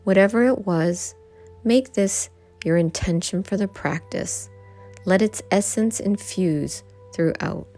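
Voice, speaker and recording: A woman guiding a yoga nidra meditation, giving gentle instructions in short phrases with pauses between them. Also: mid-range voice. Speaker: meditation guide. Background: background music.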